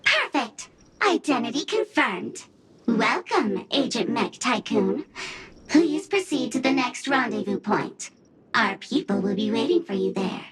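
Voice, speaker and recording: A woman's voice: on the high side, big pitch swings, a little slow, fairly bright tone, breathy edge, exaggerated voice. A woman voicing a small, playfully pompous mascot creature acting as a secret agent in a video game. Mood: mock-serious. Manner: clipped, officious. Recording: music behind.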